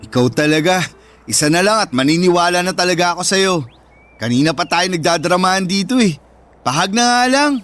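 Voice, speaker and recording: A man's voice: high-pitched, expressive, fairly quick, fairly bright tone, faint music behind. A man playing a caring, forgiving young elder brother in a Filipino radio drama, earnest and tender, with a Filipino accent. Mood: teasing, indulgent.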